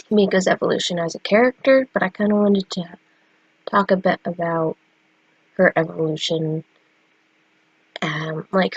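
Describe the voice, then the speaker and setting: A woman's voice: fairly low pitch, slightly rough, no echo, poor audio. A young woman with a stuffy, cold-congested voice, chatting casually about her drawings with many fillers. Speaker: artist vlogger. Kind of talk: casual chat.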